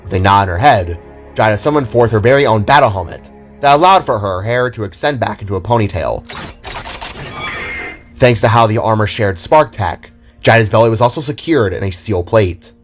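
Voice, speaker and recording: A man's voice: lowish voice, expressive, moderate pace. A man reading scripted narration for an audio drama. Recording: music under the voice. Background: music.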